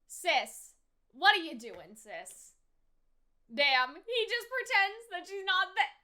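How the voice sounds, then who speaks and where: A woman's voice: very high voice, animated, takes it slowly, sharp tone. A woman giving live reaction commentary on a show, exclaiming often in slangy, sweary bursts and drawing out words. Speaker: reaction video host.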